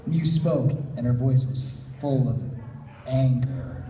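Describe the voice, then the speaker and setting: Echoey room, slightly distant, mellow male narrator, casual live story reading. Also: medium pitch, slow pace. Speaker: panelist and narrator. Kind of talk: live fan fiction narration and casual banter. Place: convention panel room.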